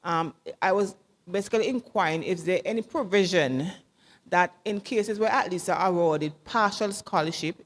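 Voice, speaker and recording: Lady asking a question at a forum, kinda hesitant, lowish voice, echoey hall. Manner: hesitant, halting with fillers. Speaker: audience member asking a question. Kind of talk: question at a symposium. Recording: long hall reverb.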